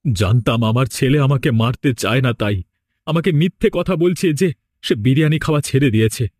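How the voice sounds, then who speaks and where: A man's voice: medium pitch, normal speed, darkish tone, dry recording, clean studio sound, lightly acted. Man acting an insistent cartoon village father. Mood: worried resolve. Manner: insistent, dramatic, questioning. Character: protective village father, firm and suspicious of his child.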